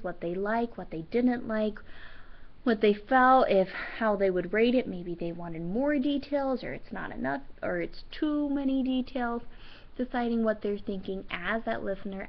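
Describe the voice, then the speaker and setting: A woman teacher reading a children's book aloud and commenting on it in an expressive, instructive way. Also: mid-range voice. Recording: dull, lo-fi sound.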